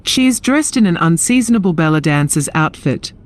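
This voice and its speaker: A woman's voice: lowish voice, animated, brisk. A woman narrating a scripted fantasy audio drama in a descriptive storytelling style. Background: music.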